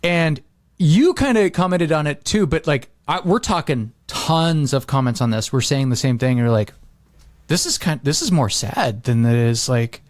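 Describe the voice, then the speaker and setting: Higher-voiced man in casual chat. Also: lively intonation, normal speed, no room sound. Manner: agreeable, informal. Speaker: podcast co-speaker.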